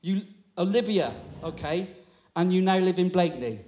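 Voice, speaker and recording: A man in his sixties with a fairly high voice holds a handheld mic on a small town hall stage. He chats and teases the audience in easy stand-up crowd work. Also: lively intonation, normal speed, darkish tone, dull audio. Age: 60s. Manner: chatty, teasing, audience banter. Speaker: comedy compere. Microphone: handheld microphone.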